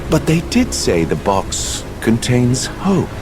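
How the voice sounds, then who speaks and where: Smug smooth-talking gambler villain from a game, fancy wording, very up and down, loud music behind. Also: male voice, mid-range voice, big pitch swings, slow pace. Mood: cool, calculating. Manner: formal, eloquent, teasing. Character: suave, smug male gambler villain, coolly calculating. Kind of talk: video game cutscene acting.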